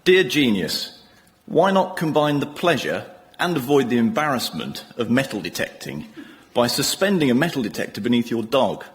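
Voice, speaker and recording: A man with a medium-pitched voice speaks as a contestant pitching an idea. He is earnest and measured, and his voice rings with hall reverb. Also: very animated. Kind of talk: reading a pitch, then answering questions. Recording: reverberant hall, faint background noise.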